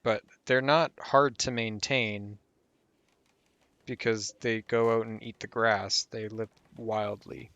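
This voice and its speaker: A man's voice: on the low side, takes it slowly, dry recording, dull audio. Man with a tired, fading voice. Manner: relaxed, rambling, trails off with fillers. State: losing his voice. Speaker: gameplay guide host. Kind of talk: casual game commentary.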